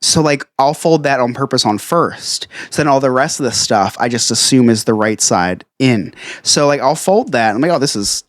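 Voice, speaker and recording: A man with a New Jersey accent rambles through a casual podcast chat, a little tipsy and quick to mock himself. His voice is dry and close, with no room sound around it. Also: mid-range voice, lively intonation, dry recording. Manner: rambling, self-mocking, laughs a lot. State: a bit tipsy. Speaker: podcast co-host.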